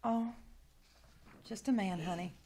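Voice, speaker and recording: A woman's voice: mid-range voice, slow, slight background noise, close to an everyday voice. A woman playing a kindly but anxious caretaker, soothing and putting on cheer, in old-time radio drama style. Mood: evasive, soothing. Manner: gentle, soothing, forced cheer over distress. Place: live on a small-town theater stage. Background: music.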